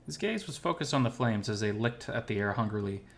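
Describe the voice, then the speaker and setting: A man's voice: on the low side, lively intonation, brisk, creaky. A man reading scripted first-person story narration from a visual novel in a dramatic storytelling style.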